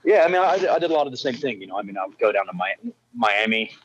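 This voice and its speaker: A man born in England, a musician guest in a casual interview, talking haltingly with many restarts and fillers. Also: medium pitch, very animated, lo-fi sound, a little hiss or hum.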